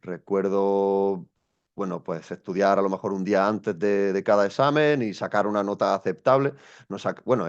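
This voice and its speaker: A man's voice: lowish voice, darkish tone, smooth, dull audio. A young man from Spain, a guest telling his story in an interview, thoughtful and hesitant with many restarts. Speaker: guest, education coach.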